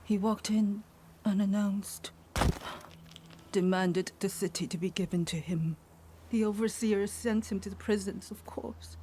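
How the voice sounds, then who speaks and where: An actress playing a shaken, frightened survivor with a hesitant, halting delivery in a video game. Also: female voice, mid-range voice, steady tone, warm tone, a little hiss or hum, natural voice. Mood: shaken, hushed. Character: frightened, shaken female survivor of a captive city. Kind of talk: dramatic video game acting. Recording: dramatic music under the voice.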